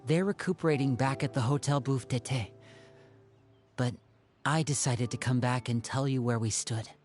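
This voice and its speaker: Game role: loyal young man, acted. Earnest, respectful, soft-spoken, unsure of his own worth, medium register. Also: mid-range voice, normal speed, faint music behind, natural voice. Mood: respectful, subdued. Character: earnest, loyal, self-doubting young man, devoted son. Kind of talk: scripted video game dialogue.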